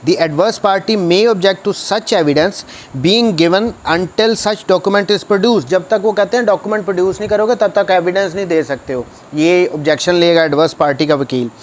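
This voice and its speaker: A man's voice: fairly high pitch, a little hiss or hum. A man with a Pakistani accent giving an explanatory law lecture in a didactic way, repeating key points for emphasis. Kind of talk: explanatory law lecture, reading statutes and paraphrasing them.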